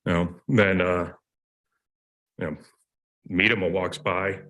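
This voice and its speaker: A man with a hoarse, deep voice that still comes out forceful and sharp, speaking at a meeting podium. He rambles through a sarcastic story with fillers and restarts. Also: steady tone, slow. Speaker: public commenter. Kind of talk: public comment at a meeting. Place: county committee meeting room. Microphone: podium microphone.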